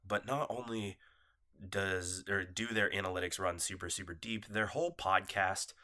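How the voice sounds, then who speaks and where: Podcast host, man, congested and nasal, loose apologetic chat, bright edge to the tone. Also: low voice, fairly even intonation, normal speed. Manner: rambling, apologetic, loose and laid-back. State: nasal from a cold, coughing. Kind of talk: casual solo podcast chat. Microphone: phone set down nearby.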